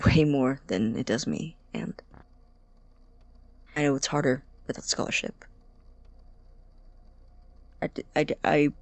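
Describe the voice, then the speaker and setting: An actor playing an awkward, anxious young male college student in an audio drama, halting and rambling, with a creaky voice. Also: medium pitch, no room sound. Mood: earnest, hesitant. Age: young adult. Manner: halting, stammering, rambling, nervous laughs. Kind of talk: audio drama acting as a personal voice recording.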